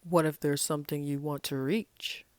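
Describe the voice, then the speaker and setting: A woman's voice: deep voice, fairly even intonation, normal speed, darkish tone, no room sound, studio quality, lightly acted. Woman reading a patient storybook animal elder. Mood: coaxing. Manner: coaxing, matter-of-fact. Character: patient grown-up frog parent and easygoing pig. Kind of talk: picture-book read-aloud.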